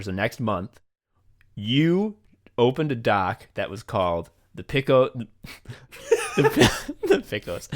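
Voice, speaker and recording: A man hosting a podcast in casual behind-the-scenes chat, rambling enthusiastically and swearing freely. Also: medium pitch. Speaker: podcast host.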